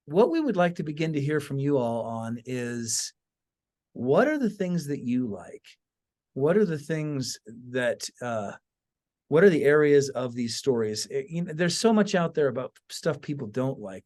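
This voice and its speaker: A man's voice: mid-range voice, sing-song, a little slow, darkish tone. A man hosting a podcast in a casual, conversational style, addressing the listeners directly. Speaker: podcast host. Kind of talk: casual chat, show sign-off.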